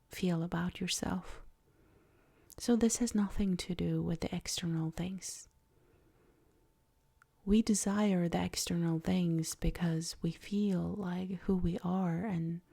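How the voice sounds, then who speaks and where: A woman guiding a soft-spoken ASMR meditation in a breathy, near-whispered voice, with gentle, deliberate phrasing. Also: on the low side. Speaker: ASMR creator and meditation guide.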